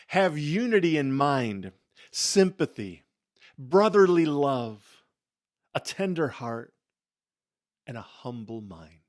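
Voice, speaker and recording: A man giving a dramatic scripture reading in a solemn, emphatic way, with deliberate pauses and phrases that fall away softly. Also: a little high, dry recording, studio quality. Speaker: scripture reader.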